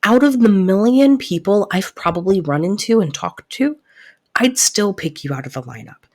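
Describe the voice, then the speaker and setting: A woman playing a devoted, protective best friend in an intimate audio roleplay, soft-spoken and earnest, easily flustered. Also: mid-range voice, expressive, natural voice. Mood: insistent, sincere. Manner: earnest, reassuring, halting when flustered.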